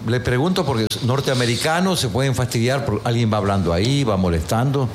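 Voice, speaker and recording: A man speaks in casual panel banter with very varied, animated intonation and a mid-range pitch. The background is clearly noisy. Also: fast talker.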